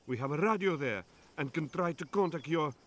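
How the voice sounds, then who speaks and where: An actor playing a proud, embittered island resistance fighter in a game cutscene, solemn and deliberate. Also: male voice, a little high, expressive. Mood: conciliatory. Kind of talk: video game cutscene voice acting.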